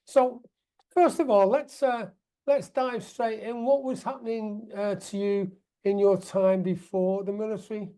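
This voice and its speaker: A man's voice: sits high, fairly even intonation, unhurried, warm tone. Upbeat male podcast host. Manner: upbeat, enthusiastic, with fillers and self-corrections. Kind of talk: podcast interview hosting.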